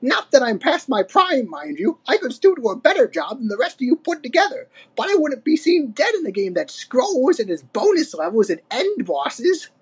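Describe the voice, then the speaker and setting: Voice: sits high, very animated, normal speed, few pauses, slightly rough, pitched above the performer's own voice, exaggerated voice. A storyteller voicing a cranky, boastful old male ape in a storybook, mocking and grumbling. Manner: snorting, grumbling, scornful. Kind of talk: storybook character reading.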